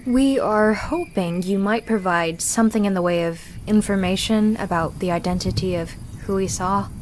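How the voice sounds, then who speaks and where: Casting: actress as young female necromancer for a visual novel, sharp, wry, skeptical, medium pitch. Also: moderate pace, slight background noise, close to an everyday voice. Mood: businesslike. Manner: sardonic, probing.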